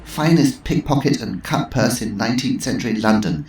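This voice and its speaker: A man's voice: mid-range voice, animated, normal speed, a little mellow, a little hiss or hum, voice pushed up, natural voice. A man voicing a sly old woman who talks in a dry, knowing, tart way. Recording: roomy echo.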